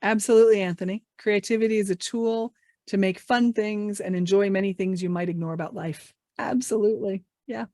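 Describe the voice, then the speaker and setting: A woman's voice: mid-range voice, steady tone, a little fast, mellow, dry recording, studio quality. A woman trainer leading an online workshop, upbeat and encouraging, asking the group many questions. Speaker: English language trainer. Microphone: video call mic.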